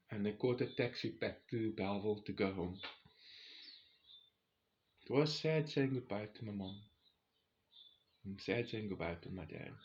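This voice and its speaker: A man's voice: fairly low pitch, a little mellow, slight background noise. South African man storytelling, slightly dull recording. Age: about 40. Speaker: vlogger telling a personal travel story. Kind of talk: casual first-person storytelling.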